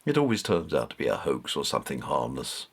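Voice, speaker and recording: A man voicing a sceptical older grandfather who speaks in a gruff, matter-of-fact way. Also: very deep voice, wildly swooping pitch, a little fast, voice pushed down, heavily acted.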